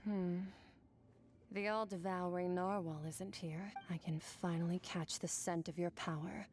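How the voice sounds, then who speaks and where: A woman's voice: fairly low pitch, fairly even intonation, a little slow, darkish tone, soft background music, close to an everyday voice. A woman playing an aloof, powerful swordswoman in a video game, speaking in a cool, detached, matter-of-fact way. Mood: detached, musing. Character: aloof, powerful otherworldly swordswoman, blunt and unruffled. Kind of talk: video game character acting.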